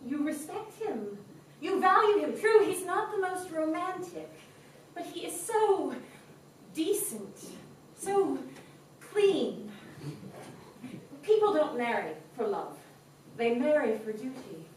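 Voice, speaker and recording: A gentle older sister speaks soothingly, her high voice rising and falling with lively expression, as an actress plays her on stage. The sound is distant and strongly echoing, with faint hiss underneath. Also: exaggerated voice. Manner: soothing, motherly stage delivery. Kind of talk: stage play acting. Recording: echoey stage recording from far off.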